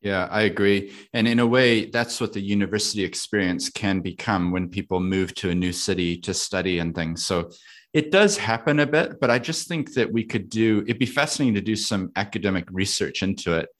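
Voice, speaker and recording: Man, American accent, low voice, thoughtful, laughs easily, casual conversation. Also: brisk. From United States. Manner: thoughtful, laughs often, asks himself questions aloud.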